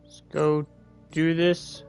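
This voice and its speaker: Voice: medium pitch, level tone, slow pace, creaky, loud music behind the voice. A video game streamer making casual commentary while playing.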